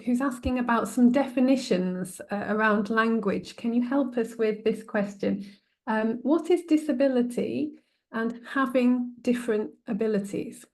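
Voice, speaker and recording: A woman's voice: a little high, a little mellow. A woman moderating a webinar, encouraging and conversational as she responds and relays questions from the audience.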